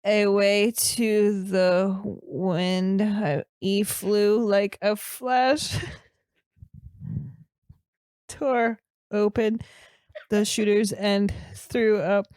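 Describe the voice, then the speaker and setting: A woman playing a dim, easily flustered young male student on a comedy podcast, reading aloud haltingly and stumbling over words. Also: medium pitch, fairly even intonation, a little mellow, clean tone, dry recording. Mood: halting, earnest. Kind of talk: improvised comedy podcast character.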